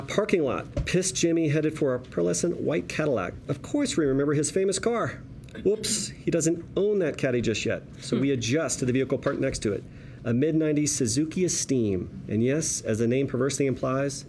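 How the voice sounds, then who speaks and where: A man's voice: medium pitch, brisk, darkish tone. A man reading stage directions at a table read in a wry, conversational storytelling manner. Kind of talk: lively screenplay narration. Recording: noisy room ambience.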